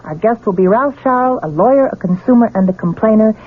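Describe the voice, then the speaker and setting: A woman's voice: a little high, lively intonation, brisk, husky. A woman hosting a radio program, reading a scripted promo. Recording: tinny, band-limited old radio broadcast.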